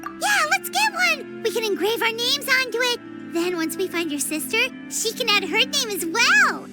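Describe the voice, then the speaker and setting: A woman voicing a tiny, excitable, childlike fairy companion in a video game, with a squeaky voice. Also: normal speed, loud music behind the voice. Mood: delighted. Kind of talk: English video game voice acting.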